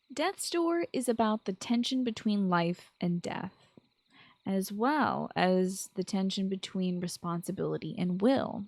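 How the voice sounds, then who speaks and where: A woman's voice: medium pitch, darkish tone, dry recording. A woman hosting a video game podcast, speaking in an informal, enthusiastic way that mixes chat with scripted commentary. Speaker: podcast host.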